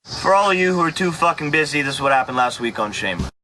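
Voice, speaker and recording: A man's voice: fairly high pitch, big pitch swings, fast talker, a little hiss or hum. A scolding foul-mouthed young guy. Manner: scolding, profane. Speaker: recap narrator for a TV series. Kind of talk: scripted TV recap. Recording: TV soundtrack with loud music.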